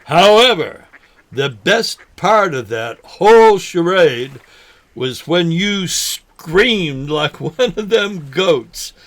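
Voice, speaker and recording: A man playing a forgetful, folksy older man who talks haltingly, in a naturalistic drama. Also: high-pitched, vocal fry, full character voice. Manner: halting, folksy, mild exclamations. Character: forgetful old man, folksy, nervous, teasing lifelong fisherman. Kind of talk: naturalistic short film drama acting.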